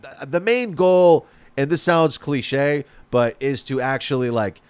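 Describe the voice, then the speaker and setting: Rambling, swearing podcast host, dry sound. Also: male voice, mid-range voice, a little slow, smooth, no echo. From Spain. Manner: rambling, profane, many restarts. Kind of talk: casual podcast chat.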